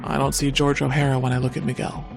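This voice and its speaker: A woman voicing a steely, vengeful older mother with a cool, pointed manner in an animated superhero drama. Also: a bass voice, fast, vocal fry, music in the background. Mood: cold threat.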